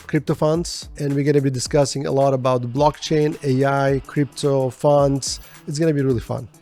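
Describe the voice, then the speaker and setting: A man with a mellow, warm mid-range voice hosts an interview show. He gives upbeat, promotional introductions. Faint music plays behind him. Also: medium pitch. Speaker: podcast host, interviewer. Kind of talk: interview hosting.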